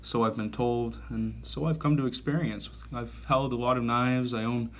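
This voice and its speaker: A man's voice: mid-range voice, fairly even intonation, normal speed. A man in his thirties giving a casual gear review, talking in a rambling, self-correcting and enthusiastic way. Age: 30s. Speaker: knife reviewer.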